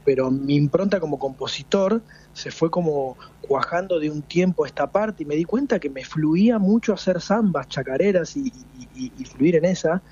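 A man's voice: on the high side, animated, normal speed, hardly any pauses, mellow, slightly rough, lo-fi sound. A man in his forties with an Argentine Spanish accent, a folk singer being interviewed, talking reflectively with many restarts. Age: 40s. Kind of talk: radio interview.

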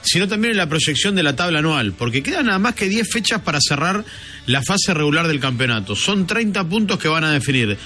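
A man's voice: a little high, big pitch swings, brisk, bright, forceful tone. A man with an Argentine accent giving a sports report on the radio as a columnist.

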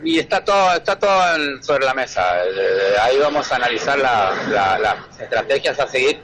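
A man's voice: medium pitch, lively intonation, moderate pace, fairly bright tone, slight background noise, low-quality recording. An Argentine man speaking as a defence lawyer in a live news interview, hesitating and repeating words.

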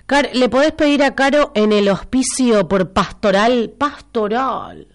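An Argentine woman hosting a local radio show in a studio, speaking with a somewhat high, clear, smooth voice despite a stuffy nose from a cold. She talks in a chatty, teasing way and repeats key details for her listeners. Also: sing-song, a little slow. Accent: Rioplatense Argentine Spanish. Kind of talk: casual live radio chat with listener messages.

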